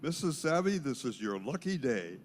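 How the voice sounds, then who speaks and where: A man reading the part of a slick, flattering telephone salesman in an amateur comic skit, with smarmy, wordy sales patter. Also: medium pitch, moderate pace. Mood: smooth-talking, pushy.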